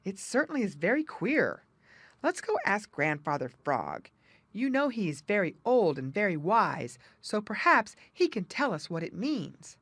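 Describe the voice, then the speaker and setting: A woman's voice: mid-range voice, big pitch swings, measured pace, no echo, pitched above the performer's own voice. A woman voicing an earnest, puzzled, insistent male muskrat in a children's animal storybook. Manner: emphatic, earnest.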